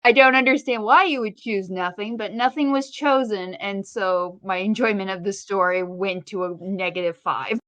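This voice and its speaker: Woman, bright crisp tone, dry sound, casual podcast chat, wry and opinionated. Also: medium pitch, moderate pace, few pauses, dry recording. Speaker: podcast co-host.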